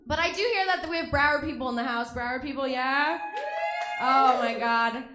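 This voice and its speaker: A woman's voice: high voice, moderate pace, echoey room, background noise. A young woman from Russia doing a stand-up comedy set, bubbly and self-mocking, laughing between her jokes. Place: comedy club stage.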